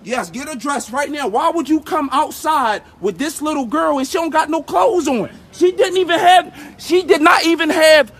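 An angry, agitated man yelling in a heated street argument, swearing and repeating himself. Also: very high voice, normal speed, few pauses. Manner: loud, yelling, profane, repetitive. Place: outdoors on a city street.

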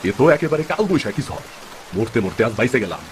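A man's voice: mid-range voice, sing-song, a little fast, a little hiss or hum, close to an everyday voice. A man playing a poor, good-natured village fisherman and father in a cartoon, speaking plaintively with a rural eastern Bengali accent. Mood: weary, plaintive. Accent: rural eastern Bengali (Bangladeshi). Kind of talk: dramatic cartoon story dub.

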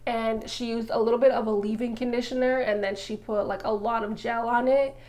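A woman's voice: fairly high pitch, steady tone, fairly quick, darkish tone. Chatty young woman vlogger. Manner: chatty, lots of filler "like". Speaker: hair vlogger. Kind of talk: casual chatty voice-over.

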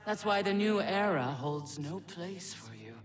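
An actor playing a solemn, imperious dark god in a video game, speaking formally and pompously. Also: male voice, sits high, lively intonation, measured pace, distant mic, faint music behind. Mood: cold, imperious. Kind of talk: dramatic video game cutscene acting.

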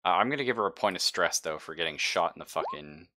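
A man's voice: low voice, big pitch swings, fast, noisy background. A man acting as game master, casually explaining rules and narrating the action in an informal, jokey way. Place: online game session over voice chat.

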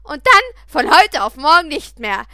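An angry sixteen-year-old girl from an audiobook acted out by a woman narrator. She is defiant, sarcastic and confrontational. Her voice is high, sharp and forceful. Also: big pitch swings, a little slow, no room sound, clean studio sound, full character voice. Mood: furious.

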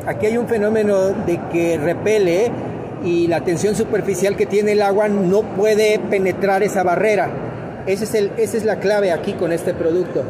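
A man hosting a casual trade fair video, excitedly pointing things out to viewers. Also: high voice, moderate pace. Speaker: vlogger, host. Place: busy trade fair hall. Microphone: handheld phone camera. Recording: loud crowd noise around.

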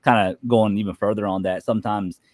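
A man's voice: fairly low pitch, fairly even intonation, a little fast, a little mellow, no room sound. A man chatting casually as a panel guest, easygoing and loose in how he words things. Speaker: podcast guest. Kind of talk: casual chat.

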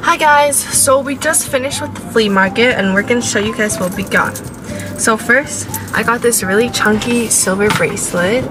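A woman's voice: fairly high pitch, moderate pace, poor audio. Bubbly young woman vlogging in a car. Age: young adult. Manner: bubbly, chatty, lots of fillers. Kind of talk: casual vlog chat. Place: inside a parked car. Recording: background music mixed in.

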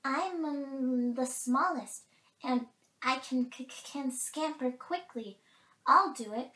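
A woman's voice: sits high, takes it slowly, pushed and bright, voice pushed up, heavily acted. A woman voicing a timid but brave tiny storybook mouse, hesitant and stammering. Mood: nervous, determined. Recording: roomy, echoing space.

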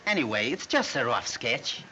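Sharp-voiced, critical makeup artist. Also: male voice, mid-range voice, big pitch swings, moderate pace. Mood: musing, critical. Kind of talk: 1930s film comedy acting. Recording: muffled, hissy old film soundtrack.

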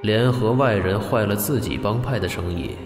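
Audio drama gangster, young played by a male actor: low, curt, brooding, reverberant with music behind. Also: normal speed, natural voice. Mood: cold, scornful. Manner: cool, curt, restrained. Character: cold, brooding young male gang member bent on revenge. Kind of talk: Chinese audio drama acting.

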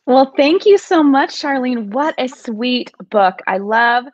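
A woman's voice: sits high, animated, slow pace, no room sound. A woman in her thirties hosting a casual chat in an upbeat, smiling way. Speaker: host. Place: indoor room at home. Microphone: video call microphone. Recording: slightly dull video call audio.

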